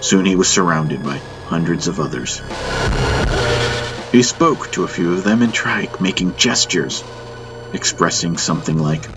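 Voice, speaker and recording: A man narrates a science-fiction audiobook in a low, deep voice. His delivery is scripted, dramatic storytelling, and music plays clearly behind him. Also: expressive, unhurried.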